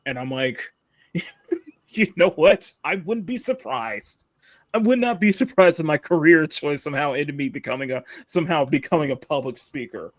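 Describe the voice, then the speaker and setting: A deep-voiced man with a Southern accent chats casually as a live-show host. He rambles, restarts his sentences and laughs often, in a dry, close recording. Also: expressive, normal speed, dry recording. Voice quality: deep, radio-style tone. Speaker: livestream host.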